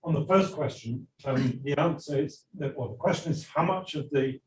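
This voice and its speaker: A measured man lays out numbers step by step, his voice heard from far off in a reverberant conference hall. He speaks at a mid pitch, with a light ambient hum behind him. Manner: measured, precise, explanatory. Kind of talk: explaining monetary data.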